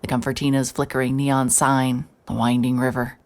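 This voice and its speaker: A woman's voice: rumbling low, level tone, moderate pace, darkish tone. A woman playing a hard-hearted, sleep-deprived private investigator, wry and terse, narrating a noir audio drama in the first person. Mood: wry, determined. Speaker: narrator and lead character.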